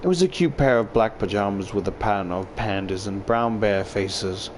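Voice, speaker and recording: A man's voice: fairly low pitch, moderate pace, a little hiss or hum. A man reading first-person visual novel narration aloud as a YouTuber.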